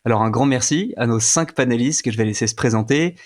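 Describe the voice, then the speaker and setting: A man's voice: mid-range voice, fast talker. A man co-hosting a podcast, politely welcoming the listeners and inviting the panel to introduce themselves. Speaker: podcast co-host welcoming guests.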